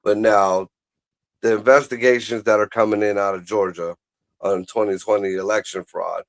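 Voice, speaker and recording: A man's voice: on the low side, fairly even intonation, moderate pace, no echo. A man chatting casually about politics as a show host, blunt, profane and rambling.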